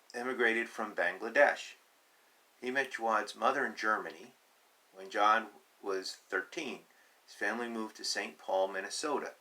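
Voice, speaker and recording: Bright-voiced man reading a picture book aloud. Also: medium pitch. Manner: teacherly, simple explaining with emphatic letter announcements. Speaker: reader of a children's picture book. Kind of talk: read-aloud with explanatory asides.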